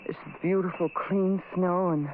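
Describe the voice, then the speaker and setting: A woman's voice: on the low side, fairly even intonation, measured pace, lightly acted. Actress as a prim young woman, muffled radio sound. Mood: reproachful. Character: prim, sentimental young woman, easily scandalized. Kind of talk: old-time radio drama acting. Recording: muffled noisy old radio recording.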